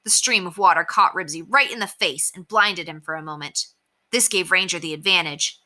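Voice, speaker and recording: A woman, a teacher, reading a children's chapter book aloud with expressive storytelling. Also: lowish voice, fairly quick. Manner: expressive storytelling with softer narration.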